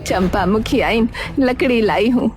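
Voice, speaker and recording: A woman's voice: on the high side, a little slow, loud music behind the voice, natural voice. A woman playing a poor, meek old village widow in a cartoon story, hesitant and pleading. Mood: humble, wary. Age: older. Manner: hesitant, pleading, stammers when upset. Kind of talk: Hindi cartoon story acting.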